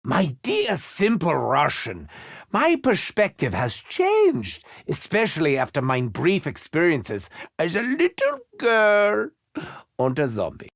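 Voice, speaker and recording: A man's voice: on the high side, huge pitch swings, takes it slowly, vocal fry, a bit bright, dry recording, clean studio sound, full character voice. An actor playing a manic, unhinged German male doctor in a video game, with a German accent and a theatrical, mock-wounded manner. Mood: haughty.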